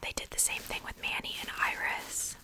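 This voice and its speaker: A woman speaking in a soft, breathy whisper, chatting casually and unhurriedly in ASMR style close to a sensitive microphone. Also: normal speed. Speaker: ASMR video creator.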